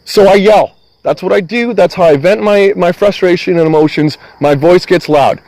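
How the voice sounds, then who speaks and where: A man's voice: high voice, moderate pace, darkish tone, dry recording, low-quality recording. A middle-aged man venting angrily outdoors, swearing hard and stressing his words. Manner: angry, swearing, emphatic. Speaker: van-dwelling vlogger. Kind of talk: agitated venting to a police officer. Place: outdoors at a rural property.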